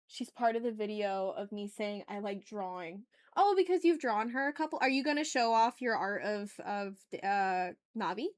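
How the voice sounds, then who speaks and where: A woman's voice: mid-range voice, lively intonation, normal speed, few pauses, no room sound, clean studio sound. A woman chatting casually and playfully with her viewers as she hosts a live art stream. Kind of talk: casual livestream chat while drawing.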